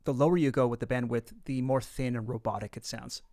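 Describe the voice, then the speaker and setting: A man narrating an instructional software tutorial, explaining things casually and step by step. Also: medium pitch, fairly even intonation, fairly quick.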